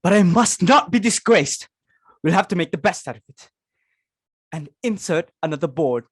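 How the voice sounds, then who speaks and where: A woman's voice: fairly low pitch, animated, normal speed, slightly rough, no echo, clean studio sound. A woman playing a fussy, snobbish society hostess and mother in a dramatic reading of a stage comedy, speaking in a haughty, exclamatory way. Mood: exasperated.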